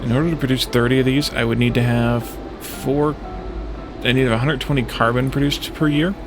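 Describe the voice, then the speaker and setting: A man giving casual gameplay commentary as a let's play host, thinking aloud in a hesitant, halting way. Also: mid-range voice, fairly even intonation. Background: music in the background.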